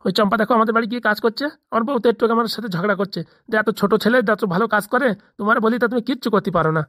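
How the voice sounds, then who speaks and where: Casting: worried, kindly middle-aged landlord for comedy cartoon, acted out, Bengali accent, breakneck colloquial delivery, medium pitch. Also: rapid-fire, a bit bright, no room sound, clean studio sound, lightly acted. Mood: complaining. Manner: chatty, fussing, colloquial.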